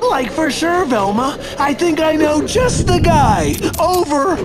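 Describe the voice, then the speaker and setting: An actor playing a goofy, cowardly young slacker in a cartoon game, with slangy, nervous, laid-back talk. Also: male voice, very high voice, very animated, takes it slowly, very noisy, full character voice. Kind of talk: cartoon video game acting.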